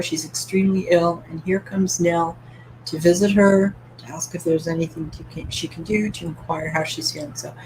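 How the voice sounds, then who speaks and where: A woman's voice: lowish voice, a little mellow, slight background noise, low-quality recording. A woman from Canada hosting a live-streamed book club, asking open questions and reading viewer comments aloud with light laughter.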